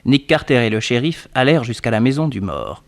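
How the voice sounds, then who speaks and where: An actor narrating a 1960s French radio detective serial as a formal storyteller. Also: male voice, medium pitch, expressive, fairly quick, a little hiss or hum, lightly acted. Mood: neutral storytelling.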